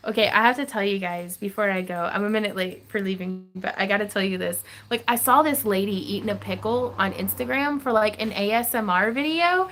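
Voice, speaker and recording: A woman's voice: mid-range voice, a little fast, hardly any pauses, fairly bright tone, slight background noise. A woman hosting a craft livestream Q&A, chatty and giggly, with lots of fillers, from her home craft room. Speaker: craft channel host. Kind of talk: livestream Q&A chat.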